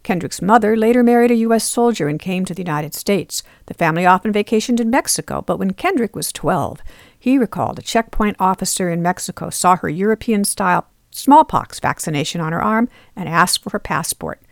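A woman's voice: mid-range voice, lively intonation, a little fast, vocal fry, no echo, studio quality. A woman reporter reading scripted news narration.

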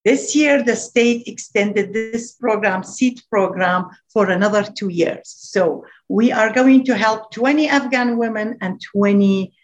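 A woman's voice: mid-range voice, unhurried, few pauses. Earnest woman, English. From Iraq. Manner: earnest, repeats key phrases, non-native grammar. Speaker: nonprofit founder, guest. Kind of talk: interview answers.

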